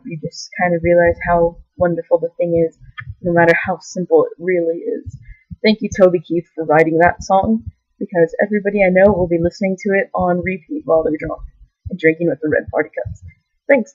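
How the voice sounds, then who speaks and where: A woman's voice: fairly low pitch, a little mellow, dry recording, highs cut off. A young woman vlogging to her camera, rambling loosely with lots of fillers and bursts of enthusiasm. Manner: meandering, filler-heavy, giggly enthusiasm. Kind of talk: rambling casual vlog. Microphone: camera mic.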